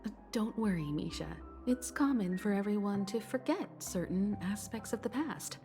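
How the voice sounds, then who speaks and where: A woman voicing a calm, kindly young companion with a gentle, reassuring manner, in scripted video game acting. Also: mid-range voice, normal speed, faint music behind, natural voice.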